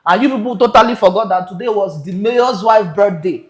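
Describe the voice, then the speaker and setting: Lively Nigerian man hosting a livestream. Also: high voice, brisk, reverberant, a little hiss or hum. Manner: lively, chatty, mixes pidgin. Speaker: live stream host. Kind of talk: livestream talk to viewers.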